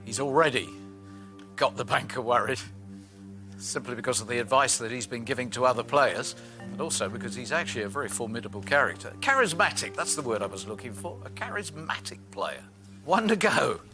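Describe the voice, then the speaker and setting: A man's voice: medium pitch, lively intonation, fairly quick, bright, forceful tone. A British man hosting a game show, effusive and full of praise for the contestant. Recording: studio with music and audience.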